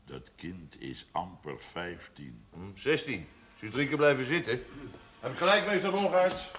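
A man's voice: mid-range voice, expressive, moderate pace, fairly bright tone, close to an everyday voice. A man acting a sociable, mildly disapproving village café keeper in a period television drama, terse and curious.

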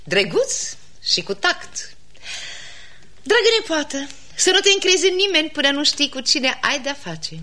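A woman's voice: high-pitched, very animated, pushed and bright, exaggerated voice. An actress playing a protective, well-bred aunt in a theatrical radio comedy, polite and knowing as she cautions. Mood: knowing, cautioning. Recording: vintage radio play, faint noise.

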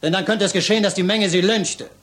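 An actor playing a stern, accusing police lieutenant in a 1960s radio crime drama, questioning curtly and relentlessly. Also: male voice, high voice, fairly even intonation, quick pace, fairly bright tone. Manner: curt, commanding, relentless questioning.

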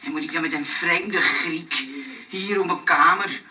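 A woman's voice: on the low side, a little slow, bright, forceful tone. A woman playing a naive, evasive boy in a Dutch radio comedy play, hesitant and stammering over words. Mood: reluctant, protesting. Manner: hesitant, protesting, stammering repeats. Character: naive, evasive boy reluctant to share his room. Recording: noisy, muffled old radio-play recording.

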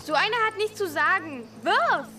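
Bossy, high-voiced scheming girl. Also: animated, moderate pace, background noise. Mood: defiant. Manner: bossy, goading, defiant. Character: bold, scheming young girl, defiant ringleader. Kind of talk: radio crime drama acting.

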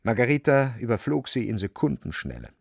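A man narrating a novel as dramatic literary storytelling, expressive and vivid. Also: medium pitch, a little fast, gravelly, no echo, clean studio sound.

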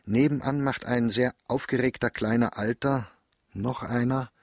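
Husky man reading a diary calmly. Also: mid-range voice, dry recording, clean studio sound. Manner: measured, reflective, understated. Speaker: narrator reading diary entries. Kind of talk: calm literary reading for radio drama.

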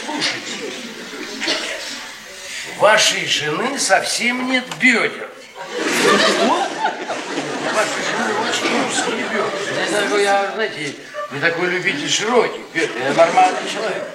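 A sardonic, worn-down middle-aged academic in a theatrical radio drama. His voice sits high and swings widely as he rambles and needles, heard a little away from the microphone in a roomy recording. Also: sing-song, unhurried, pauses often, over a noisy crowd, heavy background noise. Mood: needling. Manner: rambling, sardonic, needling, repeats words mockingly. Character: bitter, mocking middle-aged male history professor, a worn-down failure. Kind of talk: theatrical Soviet radio play acting. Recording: roomy, distant 1980s radio play recording.

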